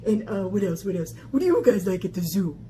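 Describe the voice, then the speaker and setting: Woman doing an excitable, childlike puppet critter, wildly swoopy. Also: medium pitch, a little fast, pitched below the performer's own voice, close to an everyday voice. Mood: eager curious. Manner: eager, repeats words over and over, stammers. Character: excitable, childlike puppet animal sidekick, pleading and silly. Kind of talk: playful children's puppet banter. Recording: clear background noise.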